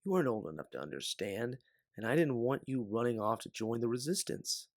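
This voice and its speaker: Man acting weary, gentle older bounty hunter mentor, dry. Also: mid-range voice, very animated, fairly quick, no echo. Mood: confessional. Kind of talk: dramatic audio fiction acting.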